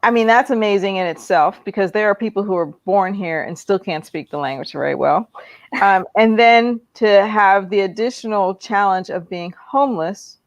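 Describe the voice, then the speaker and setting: A woman's voice: medium pitch, moderate pace, no echo, highs cut off. Chatty enthusiastic woman host, dry sound. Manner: chatty and enthusiastic, with many fillers. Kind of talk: casual live interview.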